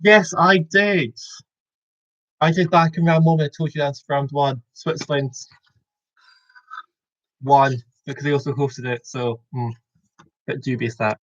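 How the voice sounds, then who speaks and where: A man's voice: fairly high pitch, steady tone, a little slow, long pauses, highs cut off. A young Scottish man in his twenties hosting a casual online quiz over a video call, rambling and correcting himself with a joking tone. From Scotland. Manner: rambling, self-correcting, jokey. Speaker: quiz host.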